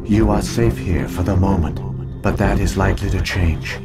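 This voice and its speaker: An actor voicing a damaged ancient AI archive system, speaking in a slow, solemn, measured way. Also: male voice, sits low, lightly acted. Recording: game audio with loud music.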